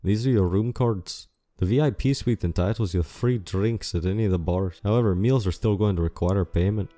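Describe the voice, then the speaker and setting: Man playing a mellow, courteous fantasy hotel clerk. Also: lowish voice, moderate pace, natural voice. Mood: polite, businesslike. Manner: formal, courteous, businesslike. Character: polite professional male tailed catfolk hotel clerk. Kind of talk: audiobook character dialogue. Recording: music under the voice.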